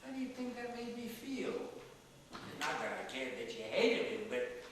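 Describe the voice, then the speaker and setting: A man's voice: sits high, wildly swooping pitch, moderate pace. Man playing a feisty old father, echoing hall. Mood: exasperated, reproachful. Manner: emphatic, argumentative, repeats words for force. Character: feisty, stubborn 97-year-old father, blunt and needling. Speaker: stage actor. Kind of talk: one-act stage play dialogue. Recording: echoey hall from far off, faint noise.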